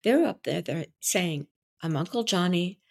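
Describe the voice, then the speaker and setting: Belgian-born woman with French as her first language, lower voice, confident and theatrical, a wedding officiant talking about her craft. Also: measured pace, gravelly. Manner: expressive, theatrical delivery; confident, enthusiastic explaining. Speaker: wedding vow coach and officiant. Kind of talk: podcast interview talk.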